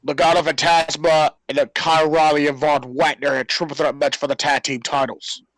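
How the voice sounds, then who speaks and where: Bright, higher-pitched male podcaster. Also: level tone, fairly quick, low-quality recording. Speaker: podcast co-host. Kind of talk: casual wrestling discussion.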